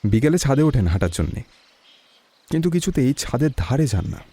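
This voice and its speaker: An actor playing a calm young detective in a Bengali audio story, asking questions in a measured, inquisitive way. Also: male voice, mid-range voice. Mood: calm, probing.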